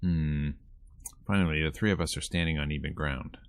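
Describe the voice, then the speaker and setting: A man's voice: very deep voice, fairly even intonation, normal speed. A man streaming a visual novel, reading the narration aloud and adding casual commentary.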